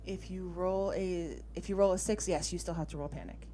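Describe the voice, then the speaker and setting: Female game master, lowish voice, casual rules talk, thinking aloud over background music. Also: steady tone, a little fast, dark tone. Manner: thinks aloud while checking rules and rolls.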